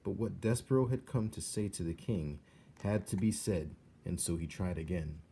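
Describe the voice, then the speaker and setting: A man reading a children's story aloud as an expressive narrator. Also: sits low, moderate pace, creaky, a little hiss or hum.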